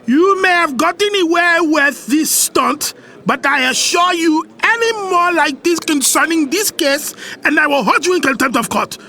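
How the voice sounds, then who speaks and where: Stern male judge, played by an actor: irritated, commanding, high and forceful. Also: lively intonation, moderate pace, exaggerated voice. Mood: angry, warning. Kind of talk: dramatized audiobook acting.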